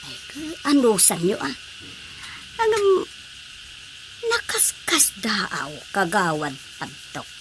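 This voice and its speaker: A woman's voice: mid-range voice, huge pitch swings, takes it slowly, long pauses, fairly bright tone, slight background noise, full character voice. A woman playing a worried, nagging villager in a comic radio drama, with a scolding, exclamatory manner.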